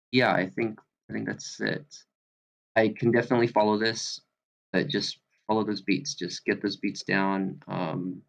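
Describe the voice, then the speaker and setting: A man giving casual, halting critique as a reader, thinking aloud informally over an online call. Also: low-pitched, gravelly, rough recording. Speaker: reader giving script feedback. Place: online video meeting.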